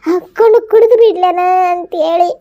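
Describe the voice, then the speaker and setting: A woman's voice: extremely high pitch, steady tone, a little slow, highs cut off. A woman playing a self-pitying aging mother in a family drama, lamenting tearfully with a North Karnataka accent. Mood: tearful despair. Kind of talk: melodramatic family drama acting.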